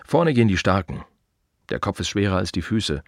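An actor playing an earnest, proud and reflective gravedigger in a radio play monologue, speaking in measured, short clipped phrases with pauses. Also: male voice, low-pitched, big pitch swings, no echo, studio quality. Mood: solemn, deliberate.

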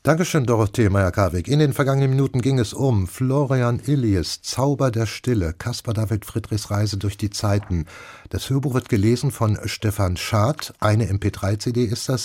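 A man's voice: lowish voice, a little mellow. A man hosting a radio programme, presenting and interviewing in a studio. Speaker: radio host.

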